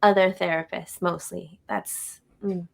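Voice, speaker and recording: A woman co-hosting a podcast, chatting casually in a relaxed, musing way. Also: fairly low pitch, measured pace, creaky. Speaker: podcast co-host. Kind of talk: casual chat.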